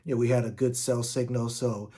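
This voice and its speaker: A man's voice: mid-range voice, little pitch movement, a little fast, warm tone. Casual man reviewing gear. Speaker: product reviewer.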